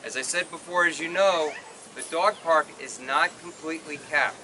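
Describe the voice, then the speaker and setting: A man's voice: fairly high pitch, moderate pace. A man explaining things plainly and emphatically at a public meeting, repeating his key points. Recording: noisy public meeting with urban ambience.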